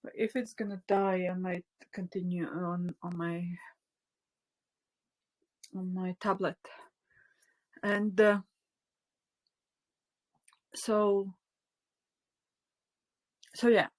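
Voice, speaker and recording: A woman's voice: lowish voice, level tone, drawn-out words, long pauses, darkish tone, poor audio. A woman whose first language is Latvian, teaching a live online spiritual class, talking off the cuff with many fillers and restarts, sometimes dropping to a near whisper, recorded on a phone.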